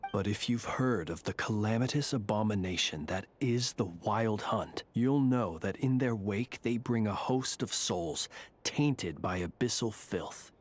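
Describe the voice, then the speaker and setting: A man voicing a courteous, eccentric graveyard warden in a video game, speaking in a formal, measured, genteel way. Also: on the low side. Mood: calm, grave. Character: courteous, eccentric gentleman graveyard warden, calm and faintly eerie. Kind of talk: video game character dialogue.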